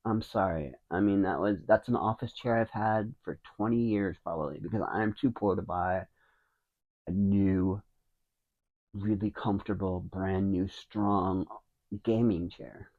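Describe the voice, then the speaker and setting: A quiet man in his fifties talks low and mumbles, rambling and restarting as he goes. His fairly deep voice comes through a wireless mic into a phone, with a thin, phone-like sound. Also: fairly even intonation, a little slow. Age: 50s. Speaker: vlogger. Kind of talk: casual talking to camera.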